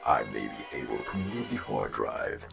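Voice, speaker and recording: A man acts out a formal rabbit first officer in a space audio drama. His voice is rock-bottom low with heavy creak and swings widely in pitch. He is measured and deferential to his captain. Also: big pitch swings, over music, exaggerated voice. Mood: businesslike. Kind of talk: sci-fi audio drama acting.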